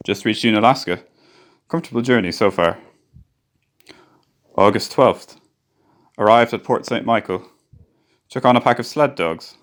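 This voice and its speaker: Guy acting as old-time ship engineer in a radio play, lowish voice, reflective measured narration. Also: fairly bright tone. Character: reflective male ship's engineer recalling a doomed Arctic voyage.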